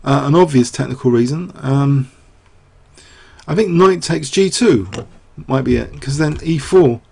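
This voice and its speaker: A man's voice: mid-range voice, a little slow, vocal fry, a little mellow, husky. A man analyzing a chess game aloud, losing his voice, rambling through rhetorical questions with many fillers. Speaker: chess commentator.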